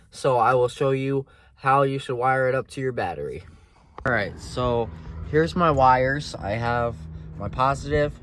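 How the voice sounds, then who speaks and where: A man giving a casual, plain-spoken how-to walkthrough in an informal style. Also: medium pitch. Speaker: DIY presenter. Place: in and around a pickup truck. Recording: clear background noise and handling clicks.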